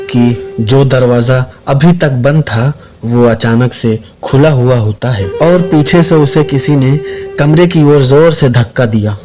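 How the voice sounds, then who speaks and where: Man, medium pitch, storyteller, scripted suspense narration, clear music underneath. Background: background music.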